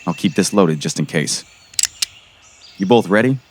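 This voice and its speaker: A man's voice: low voice, expressive, normal speed. A man playing an impatient but brave small-town sheriff in an audio drama. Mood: resolute. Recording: faint outdoor insect and bird noise.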